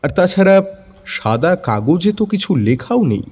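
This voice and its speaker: A man's voice: fairly high pitch, very animated, unhurried, darkish tone, breathy edge. A man narrating a scripted first-person detective story.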